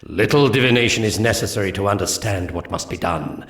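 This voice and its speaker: A man's voice: lowish voice, a little fast, a bit bright, lightly acted. A man voicing a solemn alien seer commander in a 1999 strategy game, delivering mission briefings in a grave, ominous, formal manner. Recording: echoey, distant game cutscene audio.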